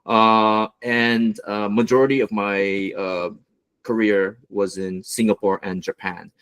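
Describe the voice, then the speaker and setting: A man from Los Angeles giving an online business presentation as a guest mentor, with a relaxed delivery and many fillers. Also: lowish voice, slow pace, smooth. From United States, Los Angeles.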